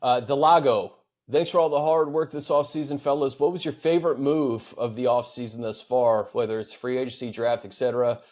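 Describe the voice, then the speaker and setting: A man with a medium-pitched voice hosts a sports show. He speaks in a casual, conversational way as he takes fan questions and talks them over. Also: steady tone, a little fast, hardly any pauses, a little mellow.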